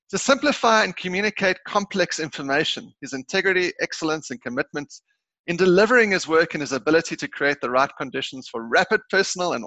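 Bright-toned podcast host. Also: male voice, on the high side, no echo. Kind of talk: scripted guest introduction and interview questions.

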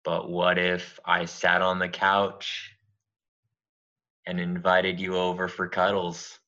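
A man's voice: deep voice, monotone, slow pace, bright, forceful tone, muffled recording, heavily acted. A man voicing a sly, scheming young witch girlfriend in an improvised audio comedy. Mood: coaxing. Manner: sly, wheedling.

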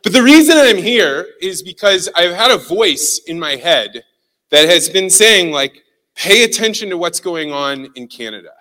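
A Midwestern American man from Missouri, speaking as a guest to a hall full of people. His voice is sharp and bright, a little high for a man, and he tells his stories in an animated, self-deprecating way. A long reverb trails after him through the large room. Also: normal speed. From St. Louis, Missouri. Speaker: guest speaker, communication consultant. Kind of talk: storytelling keynote talk. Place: large hall with a live audience.

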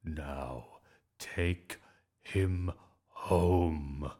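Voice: a bass voice, very slow, dark tone, full character voice. A performer voicing a bossy, ill-tempered woman teacher in a storybook, screeching and snappish. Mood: snapping, stern. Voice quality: screeching, squawking. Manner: snappish, commanding.

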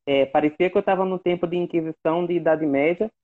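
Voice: mid-range voice, fairly even intonation, fast. Impassioned guest over a muffled call. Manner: impassioned, repeats phrases for emphasis, many fillers. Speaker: guest, works at a state legislative assembly. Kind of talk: casual online conversation.

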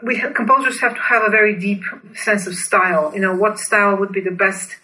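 A woman from Bulgaria, a native Bulgarian speaker, giving earnest, enthusiastic and deliberate explanations as an interview guest. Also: medium pitch, sharp tone, rough recording. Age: 30s or older.

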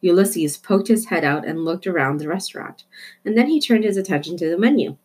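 Woman reading a children's book aloud, lowish and breathy, playful emphasis. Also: fairly quick. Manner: expressive storytelling, playful emphasis. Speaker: reader.

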